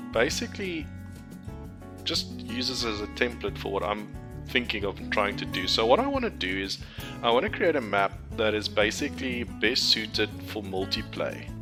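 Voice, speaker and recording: A man from Cape Town with a South African accent, whose first language is Afrikaans, giving a casual, hesitant walkthrough of his game map with lots of ums and restarts. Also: medium pitch. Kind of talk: casual screen-share walkthrough. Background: background music.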